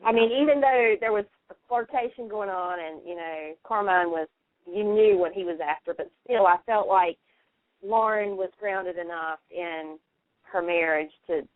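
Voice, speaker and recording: A woman's voice: on the low side, moderate pace, dry recording. A woman co-hosting a radio show, chatting in a reflective, agreeable, conversational way. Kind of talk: casual conversational chat. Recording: dry, narrow phone-like line.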